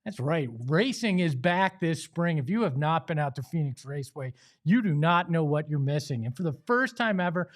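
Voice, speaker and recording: American man, fairly high voice, sports show host, emphatic, conversational delivery. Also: animated, moderate pace. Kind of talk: opinionated sports talk and host-read ads.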